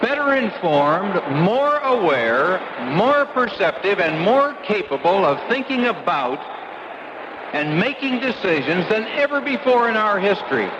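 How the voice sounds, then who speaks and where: A man's voice: high voice, sing-song, unhurried, dull audio, noisy background. A man delivering a declamatory political keynote speech. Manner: declamatory oratory. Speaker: keynote speaker.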